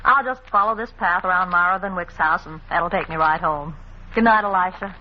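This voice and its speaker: A woman's voice: lowish voice, fairly quick, natural voice. An actress playing a guarded, proud young woman in an old-time radio drama, with a dramatic delivery. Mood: guarded, cool. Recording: muffled, noisy old radio recording.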